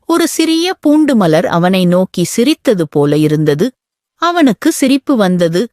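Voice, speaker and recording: A woman tells a children's bedtime story at an unhurried pace, her voice rising and falling with plenty of expression. The recording is close and dry, with no room sound. Her manner stays gentle and storybook-like throughout. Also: mid-range voice, big pitch swings, dry recording.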